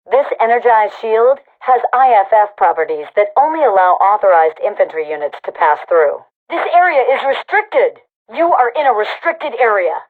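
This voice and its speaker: A brisk, authoritative woman commanding a rebel army in a video game. Her voice sits at a medium female pitch and comes in clipped military announcements. It is squeezed through a narrow, tinny radio filter, like battlefield comms. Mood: businesslike. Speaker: overseer giving battle announcements. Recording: tinny, band-limited radio comms sound.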